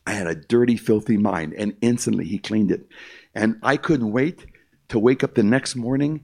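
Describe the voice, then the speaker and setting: A man's voice: on the low side, moderate pace, vocal fry, a little mellow. An older man giving an emphatic, repetitive testimony speech to a live audience, calling his listeners "my friend.". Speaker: concert pianist giving testimony.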